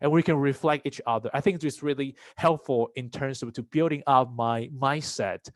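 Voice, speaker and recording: A man's voice: mid-range voice, moderate pace, no room sound. A young man from Taiwan, an international student, speaking reflectively in a casual chat with frequent restarts. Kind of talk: casual conversation.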